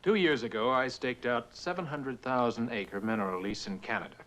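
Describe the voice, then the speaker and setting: An actor playing a proud, bitter prospector, blunt and insistent, in a mid-century television drama. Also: male voice, mid-range voice, a little fast, fairly bright tone, lightly acted, poor audio. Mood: businesslike. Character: proud, bitter mineral prospector, a man hard bargainer.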